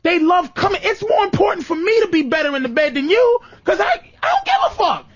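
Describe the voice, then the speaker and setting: A high-pitched man hosts a comedic relationship debate. His delivery swings widely as he cuts in and pushes his point in street slang. The sound is dull and lo-fi. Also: very animated, fast, no room sound. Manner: interrupting, insistent, street-slang rant. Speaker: talk show host.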